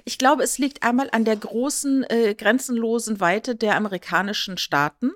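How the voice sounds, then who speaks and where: A woman's voice: a little high, moderate pace. A woman co-hosting a podcast in casual chat, explaining things in a chatty, lightly ironic way. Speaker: podcast co-host.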